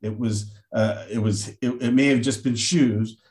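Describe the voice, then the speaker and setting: A man's voice: medium pitch, fairly even intonation, a little slow, darkish tone. A man hosting a podcast, introducing the show and talking conversationally with a guest. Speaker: podcast host.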